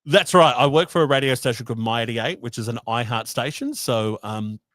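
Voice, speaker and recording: A man's voice: medium pitch, expressive, a little fast, a bit bright, dry recording, clean studio sound. A man with a broad Western Sydney Australian accent, chatting at length as a guest on a video-call interview. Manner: chatty, explains at length with fillers. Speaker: guest, author and radio host.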